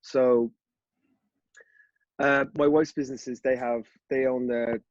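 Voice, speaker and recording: Easygoing Dublin man, flat and dry. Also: medium pitch, takes it slowly, no echo, muffled recording. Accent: Dublin Irish. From Ireland. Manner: rambling, easygoing, with many fillers and restarts. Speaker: podcast guest. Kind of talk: casual interview chat.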